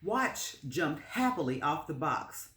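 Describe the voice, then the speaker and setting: A woman reading a children's story aloud as narrator, in a measured, expressive storytelling manner. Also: low voice, slight background noise. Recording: roomy, echoing space.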